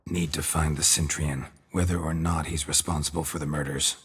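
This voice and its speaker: An actor playing a calm, menacing monster hunter in a video game, with a husky rasp and terse deadpan delivery. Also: male voice, rumbling low, moderate pace, a little hiss or hum. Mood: calm reasoning. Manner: deadpan, terse, clipped sentences. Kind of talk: understated video game acting.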